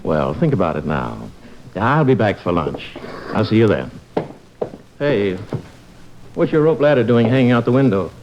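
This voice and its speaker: A man's voice: on the low side, very animated, moderate pace, pauses often. A man playing a firm but kindly middle-aged doctor father in an old-time radio family comedy, reasoning in a paternal way. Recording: muffled, faintly hissy old radio recording.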